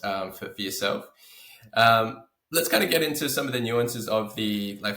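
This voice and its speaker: An Australian man hosting a finance podcast, reading a scripted intro and then chatting in a friendly, relaxed way. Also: lowish voice. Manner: friendly, relaxed, with fillers and restarts when off script. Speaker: podcast host and financial advisor. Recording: roomy echo.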